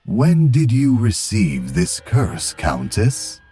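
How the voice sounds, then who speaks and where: A man playing a serene, cryptic monk master in a game fan dub, calm and formal. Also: medium pitch, takes it slowly, breathy edge, full character voice. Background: music.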